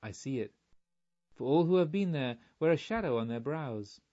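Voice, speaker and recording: A man reading a storybook, voicing a knowing, cautious friend in firm, measured words on a slightly muffled recording. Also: medium pitch, animated, mellow, close to an everyday voice. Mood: knowing, warning. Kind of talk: audiobook storytelling.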